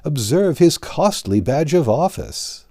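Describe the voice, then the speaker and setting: A man doing a jeering medieval crowd, raised and husky. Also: a little high, big pitch swings, takes it slowly, mellow, pitched above the performer's own voice, full character voice. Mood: mocking. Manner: mocking, sing-song taunting. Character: jeering mocking medieval crowd, scornful and rowdy.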